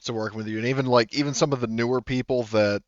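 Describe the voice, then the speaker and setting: A man's voice: medium pitch, fairly even intonation, quick pace, dry recording, lo-fi sound. A man running a tabletop roleplaying game as game master, talking in a rambling way with many fillers and restarts.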